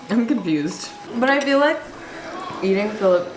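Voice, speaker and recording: A woman's voice: medium pitch, lively intonation. Teasing young woman in an echoey kitchen. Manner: teasing, quick banter. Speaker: guest friend. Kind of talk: casual chat over food. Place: her apartment kitchen, standing. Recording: echoey kitchen with music behind.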